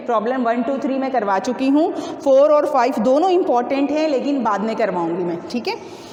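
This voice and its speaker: A woman's voice: a little high, a little mellow, muffled recording. A woman physics teacher lecturing through numerical problems in an instructive, repetitive way, often asking questions and answering them herself. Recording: echoey reverberant room with faint noise.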